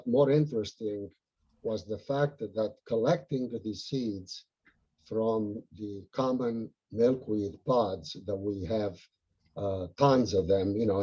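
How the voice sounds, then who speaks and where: A man's voice: lowish voice, warm tone, breathy edge, lo-fi sound. A man with an Italian accent, a local butterfly group organizer, talking earnestly and rambling with many fillers on a video call.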